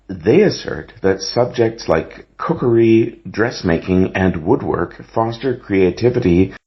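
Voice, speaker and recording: A man reading an exam essay as scripted narration. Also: low-pitched. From Canada. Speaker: essay reader. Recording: slightly dull, lo-fi recording.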